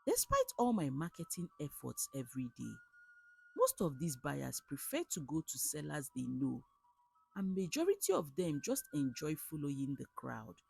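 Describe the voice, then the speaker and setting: A woman's voice: low voice, huge pitch swings, moderate pace, vocal fry, warm tone, breathy edge, faint music behind, heavily acted. A woman with a Nigerian accent voicing a desperate, naive male shop owner in a folktale, plaintive and earnest. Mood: despondent lament. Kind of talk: storybook folktale character.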